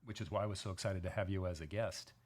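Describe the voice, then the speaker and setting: Male podcast interviewer, low register, studio setting. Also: fast, a little mellow. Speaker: podcast host. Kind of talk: interview hosting.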